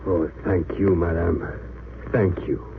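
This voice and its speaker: A man's voice: low voice, steady tone, takes it slowly, gravelly, heavily acted. An actor playing a grave, courteous Belgian resistance man in an old radio drama, speaking formally and earnestly. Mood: grave gratitude. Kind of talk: 1930s-40s radio drama acting. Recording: tinny, hissy old radio recording.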